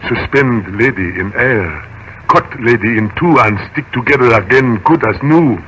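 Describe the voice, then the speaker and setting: A man's voice: mid-range voice, moderate pace, fairly bright tone, slight background noise, natural voice, poor audio. An actor playing a giant, loyal male servant in a 1930s radio drama, speaking broken English and referring to himself by name. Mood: awed. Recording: old radio recording over music.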